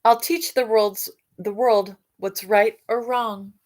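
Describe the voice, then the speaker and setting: Woman, children's storyteller, slow careful pace, mid-range pitch, expressive read-aloud, musing asides. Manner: expressive reading, alternating with softer musing asides and hums. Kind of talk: picture-book read-aloud with questions to young listeners.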